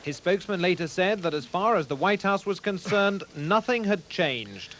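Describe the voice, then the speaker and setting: A man's voice: high voice, animated, normal speed, fairly bright tone, slight background noise. A man delivering a scripted television news report as a correspondent.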